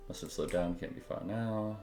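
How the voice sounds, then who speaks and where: A man with a very deep voice reacts casually while streaming a game. His room sounds echoey, and game music plays clearly behind him along with keyboard clicking. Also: normal speed. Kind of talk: casual live gameplay reactions.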